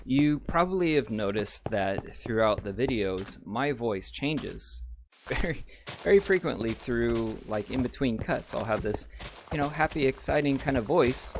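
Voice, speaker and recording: Male let's-play host, a touch raspy, rambling chatty delivery aimed at viewers, mid-range voice over a clear noise bed. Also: medium pitch, measured pace. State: slightly raspy voice. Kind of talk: casual gameplay commentary. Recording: steady background noise.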